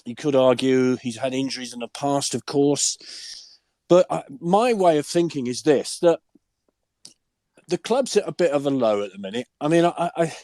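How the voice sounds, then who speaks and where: An older man, hoarse and deep-voiced from a cold, chatting enthusiastically as a guest pundit and asking rhetorical questions. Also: lively intonation, normal speed, a little mellow, dry recording. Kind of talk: casual podcast chat.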